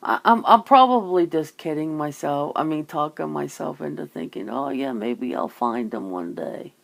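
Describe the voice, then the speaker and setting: A woman's voice: sits low, animated, moderate pace, gravelly. A woman in a casual, rambling chat, musing informally as she hosts. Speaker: ASMR channel host chatting with a guest.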